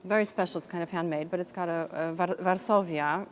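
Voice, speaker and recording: A woman's voice: on the low side, fairly even intonation, brisk, a little hiss or hum. A middle-aged woman raised in Texas, a guest on an interview show, talking reflectively with many fillers and restarts. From United States, raised in Texas. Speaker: guest, chamber of commerce director. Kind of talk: conversational interview.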